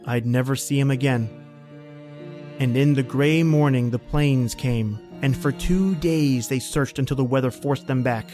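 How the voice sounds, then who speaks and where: A man's voice: medium pitch, measured pace, dark tone, faint music behind, lightly acted. A man playing a haunted, panicky man stranded in polar cold, telling his ordeal in an old-time radio horror style. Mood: numb, grieving. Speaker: podcast host and actor.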